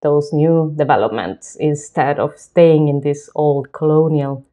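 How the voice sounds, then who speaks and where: A woman, talking as an interview guest in a relaxed, thoughtful way with soft laughs. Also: low-pitched, a little slow, highs cut off. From southern Chile. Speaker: guest, lighting designer. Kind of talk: casual interview talk. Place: home.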